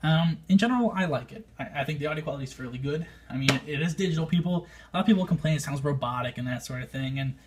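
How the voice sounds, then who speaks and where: An American man talking casually and unscripted as a hobbyist vlogger, thinking aloud and hedging his opinions in a slightly husky voice. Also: a little high, very fast, few pauses, noisy background. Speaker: amateur radio hobbyist, vlogger. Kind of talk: casual unscripted review to camera.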